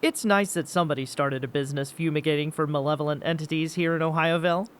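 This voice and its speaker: A woman's voice: low-pitched, fairly even intonation, fast talker, close to an everyday voice. A woman voicing a surly, forgetful male laptop companion with blunt, odd remarks in a comic audio drama. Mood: absent-minded.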